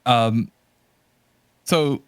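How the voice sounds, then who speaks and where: Male podcast host, mid-range voice, dry close sound, casual and rambling delivery with halting searches for the right word. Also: mid-range voice, clean tone, no room sound.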